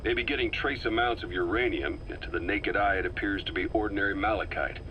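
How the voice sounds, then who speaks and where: An actor playing a terse, brooding middle-aged military commander, speaking deliberately as he ponders in a video game. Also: lowish voice, bright, forceful tone, slight background noise, low-quality recording. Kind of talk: scripted game voice acting.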